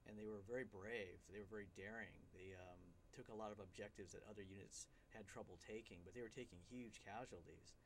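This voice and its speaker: A middle-aged man, a guest filmmaker in a studio interview, explaining in a measured way with frequent ums and uhs. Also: fairly low pitch, steady tone, a little hiss or hum. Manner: measured, explanatory, many fillers.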